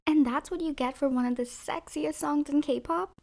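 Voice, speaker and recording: Hoarse high-voiced woman with sarcastic jabs. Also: moderate pace, a little mellow. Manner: sarcastic, opinionated, playful jabs. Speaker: YouTuber reviewing music video thumbnails. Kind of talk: scripted commentary voice-over.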